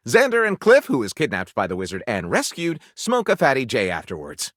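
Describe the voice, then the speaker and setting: A man's voice: mid-range voice, huge pitch swings, a little fast, a bit bright, no echo, clean studio sound. A man playing a flippant, theatrical writer character in a video game, speaking with wry sarcasm and mock grandeur. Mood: theatrical, bemused. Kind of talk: animated game dialogue, mock-grand title announcing.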